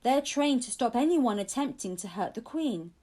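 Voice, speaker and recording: A woman voicing a firm but kindly male London police officer in a children's audiobook, measured and admonishing. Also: on the high side, animated, a little mellow.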